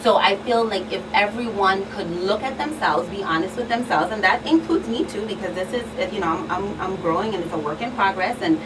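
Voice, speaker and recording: An earnest woman speaks as a guest expert on a talk show, leaning on repeated, emphatic phrases. She is recorded at a distance from the mic, with clear background noise underneath. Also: mid-range voice, fairly quick, few pauses.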